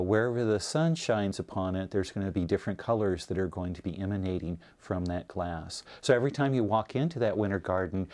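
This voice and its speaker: A man's voice: low voice, a little mellow. A man presenting in a narrated, informational style with occasional hesitations. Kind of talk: scripted narration with some hesitations.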